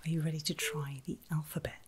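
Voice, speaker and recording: A woman whispering in a breathy, soothing and reassuring way, as a caregiver in an ASMR medical roleplay. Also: low voice, level tone, noisy background. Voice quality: breathy, mostly unvoiced whisper. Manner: soothing, reassuring, slow and deliberate. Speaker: ASMR performer playing a surgical nurse.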